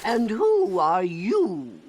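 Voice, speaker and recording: A woman playing an imperious, hot-tempered fairy-tale queen in an animated game, bombastic and commanding. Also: mid-range voice, extremely slow, noisy background, exaggerated voice. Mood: suspicious.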